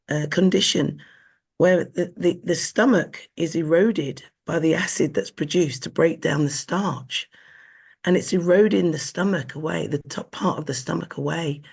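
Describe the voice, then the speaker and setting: A hoarse woman, an equine nutritionist being interviewed, explaining earnestly and often restarting her sentences. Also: fairly low pitch, a little mellow. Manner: earnest, with frequent restarts and fillers.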